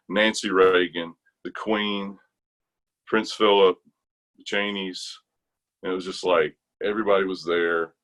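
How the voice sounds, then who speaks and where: A middle-aged American man telling stories casually in conversation, animated and colloquial. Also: low voice. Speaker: interview guest, retired army chorus bass singer.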